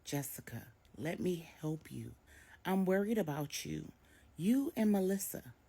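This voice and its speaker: Woman acting out a pleading older mother, animated and husky. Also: fairly low pitch, unhurried, voice pushed up, exaggerated voice. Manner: emphatic, imploring. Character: anxious pleading older mother and grandmother, protective.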